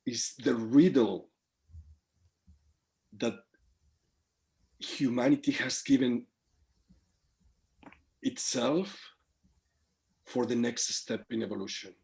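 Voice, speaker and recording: Slow, gravelly man. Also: medium pitch, a little mellow. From Spain. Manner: thoughtful, searches for words. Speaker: guest. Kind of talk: casual conversation. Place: home.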